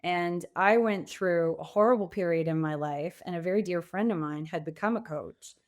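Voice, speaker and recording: A woman guest on an interview podcast, speaking reflectively and articulately with emphatic pauses. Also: fairly low pitch. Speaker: podcast guest, pivot coach.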